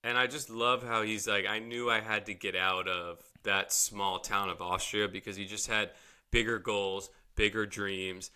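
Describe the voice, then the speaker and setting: A man's voice: fairly low pitch, flat delivery, measured pace, sharp tone, smooth. A young man co-hosting a podcast, easygoing and agreeable in casual chat. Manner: easygoing, agreeable, laughs along. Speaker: podcast co-host.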